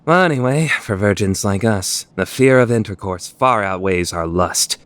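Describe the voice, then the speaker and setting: A man voicing a deadpan, exasperated high school boy explaining things drily in an anime fan dub radio play. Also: normal speed, no echo. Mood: explanatory. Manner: dry, explanatory. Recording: music behind the voice.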